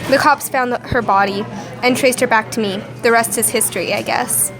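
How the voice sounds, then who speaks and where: Brief: female actor as defensive young woman criminal, amateur radio drama, higher voice over music and crowd sounds. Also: fairly even intonation, a little fast, lightly acted, poor audio. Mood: bleak. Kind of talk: amateur radio play acting.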